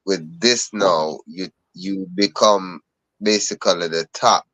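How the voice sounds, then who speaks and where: A man on a video call asking about a trust, halting and restarting his phrases. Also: deep voice, a bit bright, dry recording, low-quality recording. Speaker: workshop participant. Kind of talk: casual video-call question.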